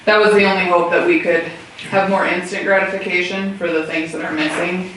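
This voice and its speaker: A woman's voice: lowish voice, fairly even intonation, brisk, a bit bright. An American woman talking informally at a meeting, answering questions. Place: indoor meeting room, far mic. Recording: very echoey room, distant mic, faint noise.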